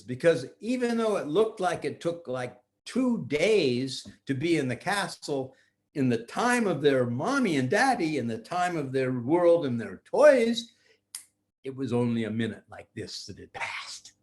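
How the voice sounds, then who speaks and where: Older man telling children a story, higher-pitched. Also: big pitch swings. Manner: simple, repetitive phrasing with drawn-out words. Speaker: grandfather storyteller. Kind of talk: improvised bedtime storytelling for children.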